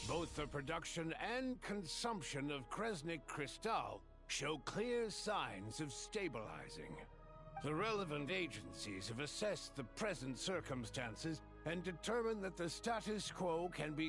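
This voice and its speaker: Game official, slightly high gravelly voice, formal and bureaucratic, presiding over an assembly. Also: male voice, very animated, measured pace, over music. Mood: formal, composed. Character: formal male government official chairing an assembly. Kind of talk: formal scripted report reading.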